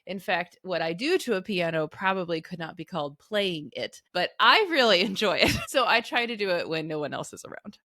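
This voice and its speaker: A woman's voice: fairly low pitch, lively intonation, a little fast, hardly any pauses, sharp tone, no room sound, studio quality. A woman co-hosting a podcast in a friendly, conversational style. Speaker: podcast co-host.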